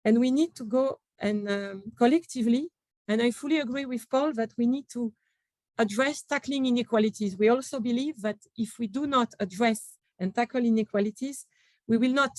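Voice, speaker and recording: A woman's voice: fairly high pitch, moderate pace, darkish tone, dry recording. A woman from France with a French accent, speaking on a conference panel with many fillers and restarts.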